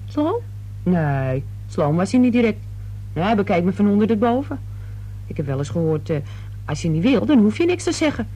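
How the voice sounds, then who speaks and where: Chatty young cleaning girl, husky. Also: medium pitch, very animated, moderate pace, warm tone, poor audio. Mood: chatty, agitated. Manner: gabby, colloquial, indignant. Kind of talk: radio play acting.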